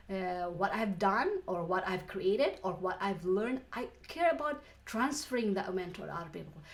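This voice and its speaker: A woman from the Philippines with a Filipino accent, teaching an online course lesson in an earnest way, with frequent restarts and soft laughs. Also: mid-range voice. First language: Filipino language. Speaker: online business coach.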